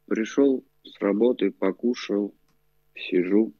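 A man in his forties from Azerbaijan, a guest telling his life story in a casual interview, halting with many fillers. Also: on the low side, dry recording, highs cut off. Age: 40s.

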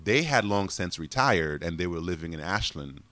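Deep-voiced man telling stories. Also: normal speed, no echo. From Congo. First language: French. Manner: animated, laughs often while telling stories. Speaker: guest. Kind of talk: casual interview storytelling.

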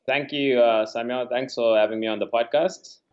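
A man around thirty from Zimbabwe, a software engineer answering interview questions over a video call in a relaxed way, often hedging with "sort of" and "yeah.". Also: medium pitch, normal speed. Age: about 30. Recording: dull lo-fi call audio.

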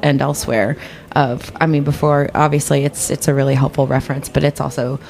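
A woman's voice: very deep voice, level tone, brisk, vocal fry, darkish tone. A woman guest chatting casually and jokingly on a radio show. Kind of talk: casual comedic chat.

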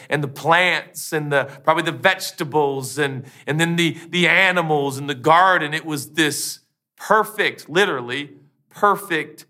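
An animated male pastor delivers an emphatic sermon from a church stage, piling up repeated phrases and quick asides. His voice is bright and forceful and sits a little high for a man.

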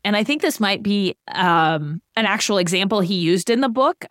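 Casting: woman, late thirties, podcast co-host, explains with examples, mid-pitched, dry close sound. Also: lively intonation, normal speed, a bit bright, no echo. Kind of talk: casual chat, explaining ideas.

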